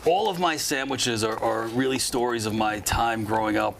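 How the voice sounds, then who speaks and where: Enthusiastic New chef. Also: male voice, medium pitch, vocal fry, a little hiss or hum. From New York. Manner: enthusiastic storyteller, quick, many fillers. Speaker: chef, guest. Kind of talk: casual interview chat. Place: TV studio.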